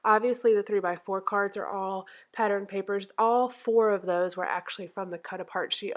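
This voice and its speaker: A woman hosting a scrapbooking channel, talking through her craft process in a chatty, rambling way, with a nasal, congested voice as she gets over the flu. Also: medium pitch. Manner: chatty, rambling, frequent ums and self-corrections.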